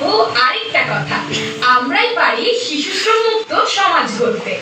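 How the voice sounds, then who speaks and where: Firm, caring female social worker played by a woman for a puppet show: high, lively, emphatic, echoing. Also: normal speed. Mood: rallying. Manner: emphatic, preachy. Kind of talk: scripted puppet show dialogue, awareness speech. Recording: very echoey, distant, music behind.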